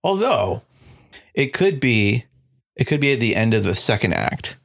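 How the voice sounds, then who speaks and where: A man's voice: medium pitch, big pitch swings, measured pace, creaky, fairly bright tone, no room sound, studio quality. A young man co-hosting a casual movie podcast, laughing often and slipping into silly quoted voices. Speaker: podcast co-host.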